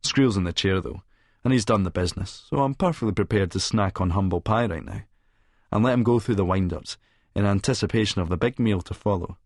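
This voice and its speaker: Casting: male Scottish narrator for a novel, fairly deep, sneering and sardonic, recorded dry. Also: a little fast, no echo. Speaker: audiobook narrator. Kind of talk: first-person scripted narration.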